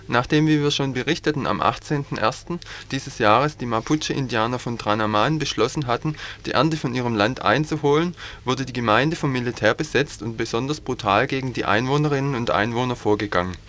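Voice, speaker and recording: A man's voice: mid-range voice, fairly quick, fairly bright tone, slight background noise. Earnest man reading radio news. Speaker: news reader. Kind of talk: read news bulletin. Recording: 1980s community radio broadcast.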